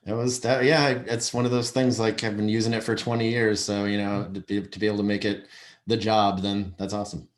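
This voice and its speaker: A man speaking as a guest in a casual video-call chat, halting and informal in his delivery. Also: lowish voice, level tone. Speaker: guest, baseball website tech worker. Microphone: video call microphone.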